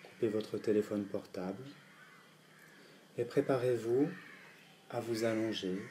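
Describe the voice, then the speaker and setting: A man with a fairly low voice leads a guided relaxation. He speaks calmly and gently, placing each instruction deliberately and leaving pauses. Faint night insects and natural sounds sit behind his voice. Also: slight background noise. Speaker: yoga nidra guide. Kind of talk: guided meditation. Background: crickets and insects at night.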